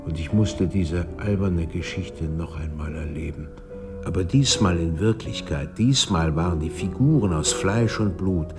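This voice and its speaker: Slow, low-voiced brooding narrator. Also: male voice. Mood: brooding, reflective. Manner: measured, reflective. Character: brooding male writer narrating his memories. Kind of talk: first-person radio drama narration. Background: music.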